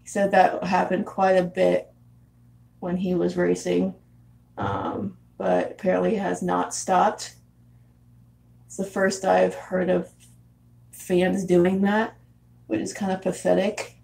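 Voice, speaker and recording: Gravelly-voiced young woman chatting casually. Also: lowish voice, slow pace, pauses often, a little mellow, rough recording. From San Diego, California. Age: 20s. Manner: chatty, frequent laughs and fillers. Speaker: vlogger chatting with viewers. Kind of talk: casual live chat reading comments. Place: house in Arizona.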